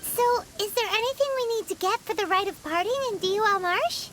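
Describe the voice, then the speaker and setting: A woman voicing a tiny floating fairy girl companion who is chatty and excitable, talks about herself in the third person and is blunt and nosy. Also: very high voice, a little fast, a little hiss or hum. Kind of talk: video game voice acting.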